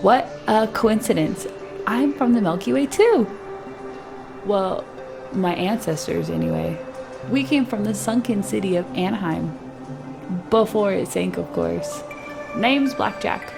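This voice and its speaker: Breezy young starbase guide, played by a woman, wry and casual, fry in the voice, loud music bed. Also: mid-range voice, a little slow. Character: thin young female tour guide, chipper and wry. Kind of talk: sci-fi radio drama acting.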